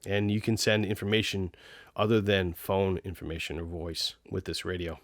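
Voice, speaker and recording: A man's voice: low voice, level tone, moderate pace, no echo, clean studio sound. A man hosting a casual product review, explaining technical details in an informal way. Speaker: video host reviewing radios.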